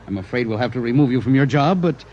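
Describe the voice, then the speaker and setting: An actor in a 1950s radio drama playing an impatient, dismissive office boss with a brusque, stammering delivery. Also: male voice, mid-range voice, expressive, quick pace, lightly acted. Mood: nervous, placating. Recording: dull, faintly noisy old radio recording.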